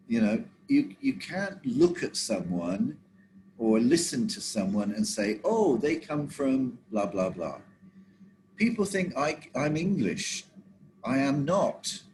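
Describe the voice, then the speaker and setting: A man's voice: medium pitch, darkish tone, slight background noise, rough recording. A middle-aged man giving a training lecture to travel agents, talking conversationally and often checking in with his listeners.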